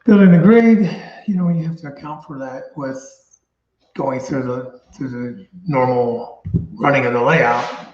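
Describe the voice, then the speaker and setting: A man hosting a casual chat, thinking out loud in a meandering way. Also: mid-range voice, a little slow. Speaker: show host. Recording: roomy, slightly dull audio.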